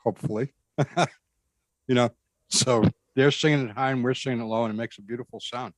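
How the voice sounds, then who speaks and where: Earnest man with a bass voice. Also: steady tone, brisk, no echo, poor audio. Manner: earnest, emphatic, repeats himself. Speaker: guest describing a spiritual experience. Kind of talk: conversational storytelling.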